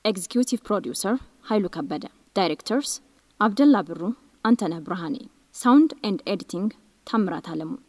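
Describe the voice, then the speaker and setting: A woman announces credits from a script in a medium-pitched voice. Her voice carries a long reverb, and faint music plays behind it. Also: animated, a little fast, darkish tone.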